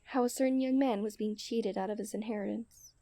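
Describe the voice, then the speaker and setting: A young woman playing a coy, refined and tender princess in a romantic ASMR roleplay, speaking in playful storybook diction that often softens to a near-whisper. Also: on the high side, fairly even intonation, brisk, no room sound, lightly acted. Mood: playful, knowing. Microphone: close studio-style microphone.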